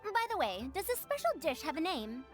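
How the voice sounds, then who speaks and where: A woman's voice: very high voice, very animated, fairly quick, over music. A woman playing a refined, penny-pinching merchant and scholar in a video game, speaking in an elegant, articulate and theatrical way. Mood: impressed, polite.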